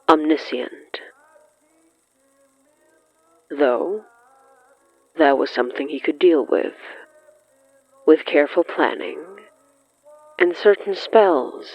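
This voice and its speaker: A narrator reading dramatic horror fiction in a measured, halting way with weighty pauses. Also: mid-range voice, no room sound, lo-fi sound. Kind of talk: slow, dramatic scripted narration.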